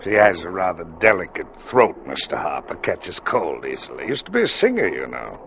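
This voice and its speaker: An actor playing a ship's captain in a radio drama, formal and controlling, with pointed, menacing courtesy. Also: male voice, low-pitched, huge pitch swings, moderate pace, gravelly, fairly bright tone, full character voice. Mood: coolly menacing. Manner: clipped courtesy, pointed repetitions. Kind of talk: old-time radio drama acting. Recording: muffled, noisy vintage radio recording.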